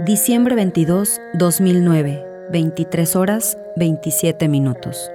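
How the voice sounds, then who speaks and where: Lowish-voiced woman narrating dark fiction over music. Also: slow pace. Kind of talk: scripted dramatic fiction narration. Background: background music.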